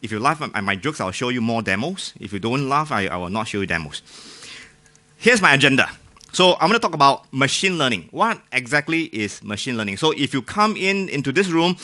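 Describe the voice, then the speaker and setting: Male conference speaker, Singaporean accent, bright forceful voice, mid pitch, informal and jokey, works the audience with questions. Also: big pitch swings, a little fast. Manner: joking, informal, often asks the audience questions. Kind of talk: technical talk to a live audience.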